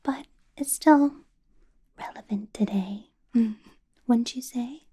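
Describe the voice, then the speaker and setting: A woman voicing a possessive ancient female vampire boss in a whispered ASMR roleplay, soft and doting with a quiet menace, close to the microphone. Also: on the high side, slow pace, breathy edge, full character voice. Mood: sweetly menacing.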